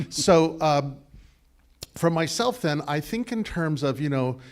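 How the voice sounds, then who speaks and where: A man's voice: fairly high pitch, slow pace. Guy with a big voice riffing through a sermon reply, lots of ums and restarts. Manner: animated, discursive, many fillers and restarts. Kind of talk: conversational sermon dialogue.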